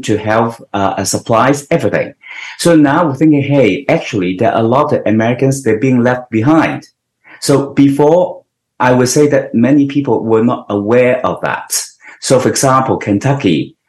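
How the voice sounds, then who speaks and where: A man's voice: mid-range voice, darkish tone. A man in his sixties from Hong Kong, giving earnest, explanatory interview answers and often restarting his sentences. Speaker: guest. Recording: roomy echo.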